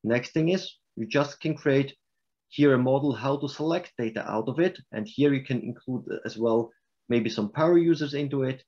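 A man with a Swiss German accent presenting software in a webinar and answering questions, explaining in a halting way with restarts. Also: mid-range voice, muffled recording.

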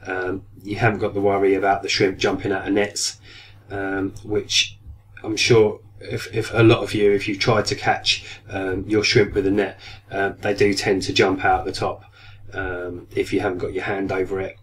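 A man's voice: low voice, level tone, moderate pace. A man in his thirties with a cold coming on, casually reviewing an aquarium product, hesitant and full of ums. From United Kingdom. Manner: hesitant, many ums and restarts. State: getting a cold, voice going slightly. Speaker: aquarium hobby vlogger. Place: small room indoors at a desk. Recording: distant mic, faint room noise.

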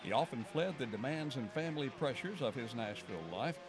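A man's voice: mid-range voice, expressive, fairly quick, over music. A man reading scripted narration for a television news feature as the reporter.

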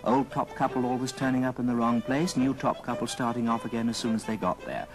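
A man's voice: mid-range voice, steady tone, fast talker. A man narrating a classic story in a dramatic old radio style. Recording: old record with music underneath. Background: music.

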